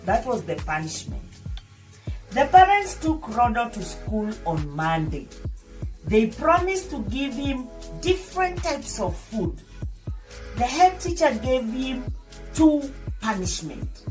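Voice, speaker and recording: Woman teacher, higher-pitched voice, lively swooping read-aloud, didactic explanations, distant mic with music behind. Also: slow. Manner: didactic, repeats and explains for young listeners. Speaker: teacher reading a story aloud. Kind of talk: read-aloud children's story with simple explanatory asides.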